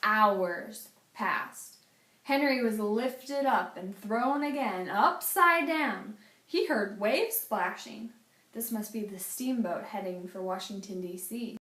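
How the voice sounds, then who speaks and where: A woman reading a children's picture book aloud as a teacher, with a deliberate, dramatic storytelling delivery. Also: medium pitch, fairly bright tone. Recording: echoey room.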